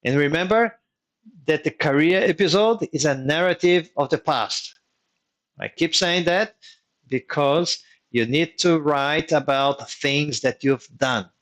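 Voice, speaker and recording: A man's voice: on the high side, unhurried, a bit bright. Methodical man mentoring, native Portuguese speaker. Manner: methodical, repeats key points for emphasis. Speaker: engineering migration mentor hosting a workshop. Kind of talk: live online teaching session.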